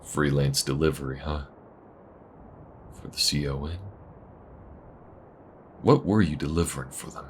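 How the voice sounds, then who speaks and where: A man playing a wary, protective chop shop owner in a space western audio roleplay, talking slowly and intimately in folksy phrases. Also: very deep voice, slight background noise. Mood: probing. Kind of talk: audio roleplay acting, spoken intimately to the listener.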